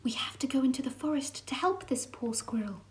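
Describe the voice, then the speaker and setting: A woman's voice: sits high, animated, fairly quick, in a whisper, voice pushed up. A woman voicing a bold, adventurous young male pet cat in a storybook, speaking eagerly and insistently. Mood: urgent.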